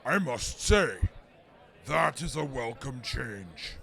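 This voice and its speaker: Slow, gravelly, hot-tempered mutant reporter. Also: male voice, medium pitch, very animated, sharp tone, heavily acted. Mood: tense, formal. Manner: stiff formal speech breaking into threatening outbursts. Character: boastful, hot-tempered super mutant field reporter, male. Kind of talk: post-apocalyptic radio comedy drama acting.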